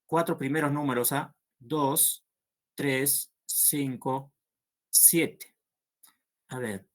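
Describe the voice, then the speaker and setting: A man's voice: mid-range voice, steady tone. A man teaching a math class, working through problems aloud and checking with students as he goes. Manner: slow and patient, checks understanding with "¿no?".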